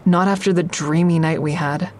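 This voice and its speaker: A woman's voice: lowish voice, fairly even intonation, normal speed, a little mellow, slight background noise, lightly acted. An actress playing a frank, sardonic young woman who narrates her own story in an audio drama in a confessional, wry way. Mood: uneasy, reflective. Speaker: actor in a fiction podcast.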